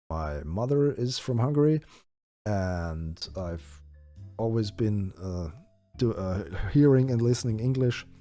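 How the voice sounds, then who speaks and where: German-native man, game streamer, unhurried thinking-aloud delivery, lively pitch. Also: dry recording. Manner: thinks aloud with many fillers and restarts. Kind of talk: live-stream gameplay chat.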